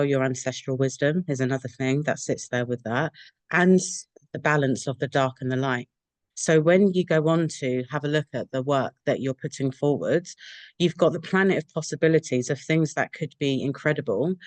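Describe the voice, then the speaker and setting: A woman's voice: rumbling low, a little mellow, no echo. A woman hosting an interview podcast, who asks long, rambling questions with fillers. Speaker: podcast host.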